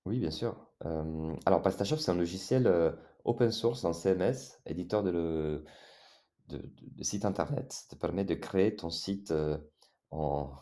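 A man's voice: low voice, moderate pace, mellow. A man in his thirties from Italy with an Italian accent, speaking as a podcast guest in a hesitant way, with many fillers and restarts. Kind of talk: casual interview talk.

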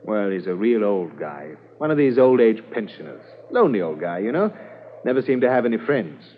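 A folksy small-town neighbor with a lowish voice that swings up and down as he chats. It is 1950s radio drama, heard through a tinny, noisy old recording. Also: sing-song. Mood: folksy, chatty. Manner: folksy, conversational, drawn-out phrases.

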